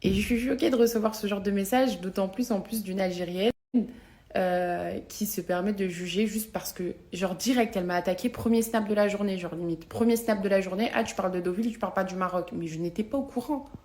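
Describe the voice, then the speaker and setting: A woman's voice: mid-range voice, a little fast, a little mellow. A young adult woman, talking casually and confessionally to her followers in slangy, emphatic speech, repeating words for stress. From Algerian family. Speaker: social media vlogger.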